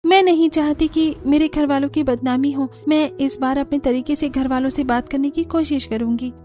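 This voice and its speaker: A woman's voice: high voice, steady tone, normal speed, mellow, pitched above the performer's own voice, lightly acted. A woman voicing an earnest, uneasy but firm young daughter-in-law in a cartoon. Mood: resolved. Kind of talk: cartoon voice acting.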